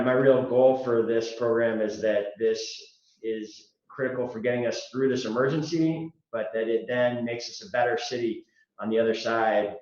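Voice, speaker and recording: A man who is a mayor giving measured public briefing remarks over a video call, pausing often with fillers. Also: mid-range voice. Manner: measured, pauses with many fillers. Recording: roomy, dull video call audio.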